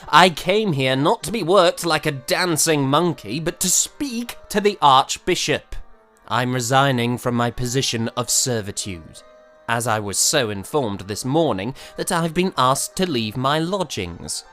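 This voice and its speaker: Theatrical young male composer played by an actor, aggrieved and florid, slow, highly animated delivery over music, for period audio drama. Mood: flustered, defiant. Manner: theatrical, florid, hesitant sputtering. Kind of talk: period audio drama acting, letter narration.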